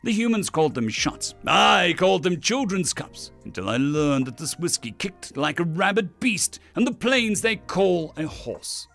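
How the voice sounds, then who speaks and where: A man's voice: sits high, very animated, measured pace, creaky, hardly any pauses, fairly bright tone, soft background music, voice pushed up, exaggerated voice. A man voicing a proud alien drinking champion, narrating a story in a theatrical, boastful, melodramatic way. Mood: rueful, dramatic. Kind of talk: dramatic first-person story narration.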